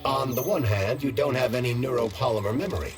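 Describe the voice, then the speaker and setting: A man's voice: medium pitch, fast talker, over music, close to an everyday voice. An actor playing a composed, formal and analytical male assistant advising the hero in a video game.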